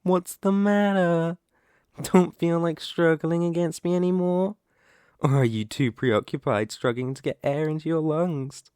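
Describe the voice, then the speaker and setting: A man's voice: a little high, darkish tone, clean tone, no room sound, studio quality, close to an everyday voice. A man playing a sadistic, predatory lamia in an ASMR horror roleplay, taunting and menacing. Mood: gloating, taunting. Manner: taunting, menacing, slow deliberate delivery with gloating lilts. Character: sadistic, predatory male lamia, half-snake monster toying with prey.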